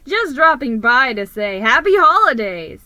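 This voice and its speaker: A hearty, muscle-bound male rat from a storybook, put on by a woman. He hollers cheerfully in a bright, forceful voice, recorded close and dry. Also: high-pitched, big pitch swings, measured pace, dry recording, full character voice. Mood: hearty greeting. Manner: loud, yelling, hearty.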